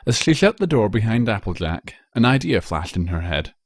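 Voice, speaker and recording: Animated man narrating a story. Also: lowish voice, normal speed, no echo, studio quality. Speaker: fan fiction reader.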